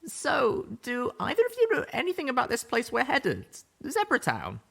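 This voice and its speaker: A man voicing a young female unicorn pony, speaking in a high, light, questioning voice. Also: wildly swooping pitch, moderate pace, creaky, a bit bright, heavily acted. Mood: curious.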